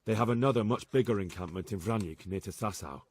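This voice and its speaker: An actor playing an earnest young medieval spy in a game, plain-spoken and respectful. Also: male voice, lowish voice, quick pace, a little mellow, lightly acted. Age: young adult. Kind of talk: video game cutscene acting.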